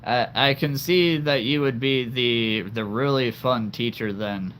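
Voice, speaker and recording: A man's voice: medium pitch, unhurried, a bit bright, a little hiss or hum. A man hosting a livestream in casual chat, laughing often and stammering over repeated words. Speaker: livestream host.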